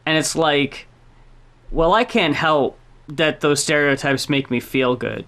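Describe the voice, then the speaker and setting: A woman's voice: sits low, takes it slowly, fairly bright tone. A woman co-hosting a podcast, chatting casually, blunt, swearing freely and laughing often. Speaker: podcast co-host. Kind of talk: casual chat about music.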